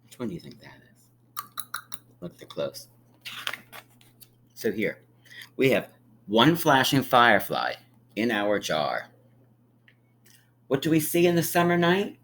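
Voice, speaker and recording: A man reading a picture book aloud to young children like a teacher, with emphatic pauses and encouraging, friendly asides. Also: medium pitch, very animated, fairly bright tone, slight background noise. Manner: encouraging, inviting listeners to count along; drawn-out, emphatic storytelling delivery with dramatic pauses.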